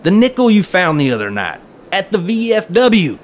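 A man's voice: high voice, huge pitch swings, normal speed, slight background noise. An actor playing an eager, folksy small-town hobbyist man in an audio drama, chatty and full of slang. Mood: jovial, chatty.

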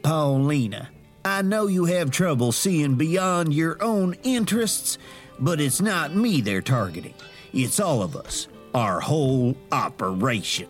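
A pompous, animated scheming heir. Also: male voice, a little high, a little slow, faint music behind. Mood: exasperated. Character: pompous, scheming male crime-syndicate heir. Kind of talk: audio drama acting.

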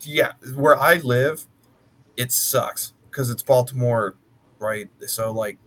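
A man's voice: medium pitch, slow pace, fairly bright tone. An American man of about thirty chatting casually, talking at length in a blunt, sweary way. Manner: blunt, profane, talkative. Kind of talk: casual chat.

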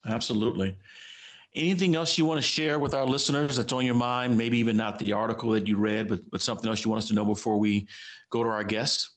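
A man's voice: on the low side, steady tone, quick pace. A man from Los Angeles co-hosting an education podcast, chatting with his co-host and reading a guest's introduction.

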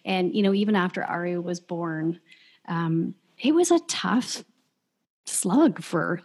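Female podcast host in her thirties, slightly low pitch, warm and reflective, sincere conversational delivery. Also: lively intonation, takes it slowly, husky. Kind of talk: casual podcast chat.

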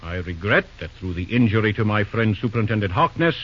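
An actor playing a pompous, scheming railroad shareholder who blusters indignantly in an old-time radio drama. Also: male voice, lowish voice, very animated, fast, breathy edge. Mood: pompous, insistent. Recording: muffled, fuzzy old radio recording.

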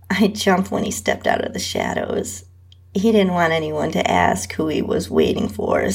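Woman, slow pace, heavy vocal fry, husky, intimate story narration. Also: lowish voice, a little mellow. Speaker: host and narrator. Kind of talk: intimate first-person erotic story narration.

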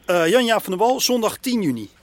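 A man's voice: high-pitched, very animated, brisk, a little hiss or hum. A man playing a bumbling, awkward radio producer in a radio comedy, hesitant, muddled and earnest. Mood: awkward, formal.

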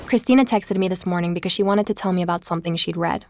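An actress playing a curious, skeptical woman investigator in an audio drama, speaking in a measured, cautious way. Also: lowish voice, noisy background, close to an everyday voice. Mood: reflective narration. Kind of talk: audio drama narration and naturalistic dialogue.

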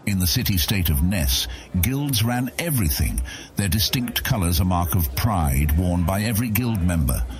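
A man narrating a fantasy audiobook as a scripted story, with a gravelly, husky voice. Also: low-pitched, big pitch swings, normal speed.

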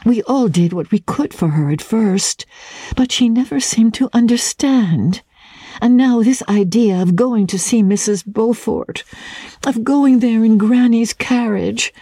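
A woman voicing a genteel young society wife in an audiobook, earnest and quietly strained, breathy and tentative. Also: a little high, slow pace, dry recording, voice pushed up. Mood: hesitant, disapproving. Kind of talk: dramatic audiobook character reading.

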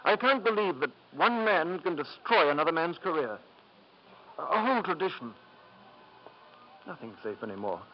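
Earnest schoolmaster, higher-pitched, old radio. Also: male voice, big pitch swings, moderate pace, long pauses. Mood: distressed, pleading. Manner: hesitant, formal, earnest. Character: principled, distressed schoolmaster, earnest and formal. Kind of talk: 1950s radio drama acting. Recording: muffled 1950s radio broadcast.

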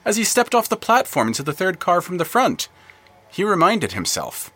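Animated male story narrator with swooping pitch. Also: moderate pace, breathy edge. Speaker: narrator of an audio fiction podcast. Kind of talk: scripted literary story narration.

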